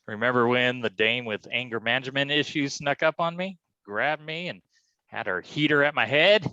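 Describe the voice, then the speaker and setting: A man's voice: medium pitch, normal speed, pushed and bright, clean tone, dry recording, dull audio, lightly acted. An actor playing a wisecracking, stubborn private detective who talks in slangy tough-guy style in a staged reading. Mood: cocky, determined. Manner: slangy, folksy tough-guy talk peppered with "huh?". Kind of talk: hardboiled detective stage acting.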